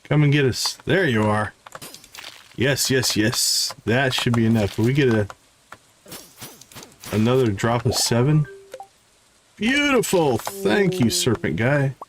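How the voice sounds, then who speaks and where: Slow-paced man casually commentating a game. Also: medium pitch, lively intonation, slight background noise. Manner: chatty, thinks out loud. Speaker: gaming streamer.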